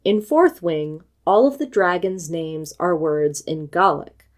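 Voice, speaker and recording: Measured, articulate American book reviewer woman. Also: lowish voice, animated. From United States. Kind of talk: scripted video essay.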